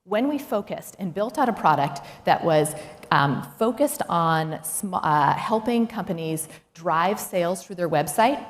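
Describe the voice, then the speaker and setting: A woman executive giving a prepared business keynote, speaking in an instructive, emphatic way with rhetorical questions. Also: lowish voice. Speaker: chief executive giving a conference talk. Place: large indoor talk venue. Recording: echoey hall, noticeable background noise.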